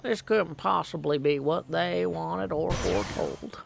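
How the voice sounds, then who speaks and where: A man voicing a grave, suspicious video game character who speaks in a formal, measured way. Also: a little high, big pitch swings, vocal fry, background noise, exaggerated voice. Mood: doubtful.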